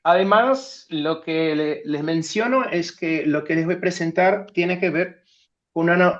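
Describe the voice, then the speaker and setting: A Brazilian man whose first language is Portuguese, giving an academic talk in Spanish as a guest speaker, speaking hesitantly and checking in with his listeners. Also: fairly high pitch. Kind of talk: academic talk over video call. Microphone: video call. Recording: dull video call audio.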